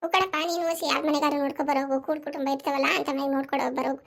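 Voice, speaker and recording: A woman voicing an overworked, sharp-tongued village housewife and mother in a family cartoon, scolding and complaining with a rural southern Karnataka accent. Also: sits high, steady tone, very fast, vocal fry. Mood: indignant, sarcastic. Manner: scolding, complaining, rhetorical questions.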